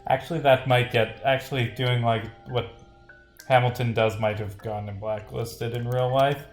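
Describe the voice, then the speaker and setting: A man's voice: medium pitch, fairly even intonation, moderate pace. Male game developer on stream, off-the-cuff and rambling; roomy sound over music. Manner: rambling, thinking out loud. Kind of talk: casual livestream commentary, reading script lines aloud.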